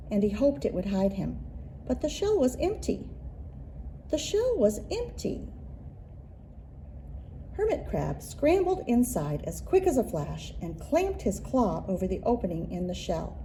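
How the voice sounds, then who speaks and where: A woman with a very soft, mellow tone reads a picture book for young children. Her delivery is unhurried and lively in pitch, with expressive, rhythmic storytelling. A faint wash of background noise sits behind her. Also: poor audio. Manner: expressive, deliberate storytelling with rhythmic repeated phrases. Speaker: children's librarian and story reader.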